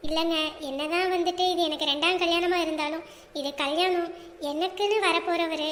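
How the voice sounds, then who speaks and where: A woman voicing a gentle bride-to-be who speaks meekly and politely. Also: very high-pitched, steady tone, brisk, roomy sound, big-room sound. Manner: meek, polite. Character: gentle soft-spoken bride-to-be, a widow remarrying.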